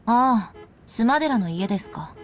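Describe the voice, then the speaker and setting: An actress playing a defiant, sarcastic teenage heiress in a visual novel, with a scornful, dry delivery. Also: female voice, on the high side, animated, fast, faint music behind, lightly acted. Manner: scornful, dry, self-possessed. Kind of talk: Japanese visual novel voice acting.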